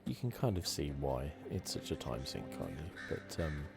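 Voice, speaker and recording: A man giving relaxed ASMR-style game commentary with wry asides, speaking softly into a close microphone. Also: extremely low pitch, normal speed, gravelly. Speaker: gaming video host. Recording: loud game music behind.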